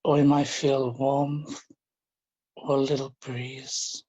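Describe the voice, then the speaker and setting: A man leading a guided relaxation, speaking in a gentle, informal and halting way, often repeating his phrases. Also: mid-range voice, level tone, lo-fi sound. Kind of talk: guided relaxation, meditation instruction.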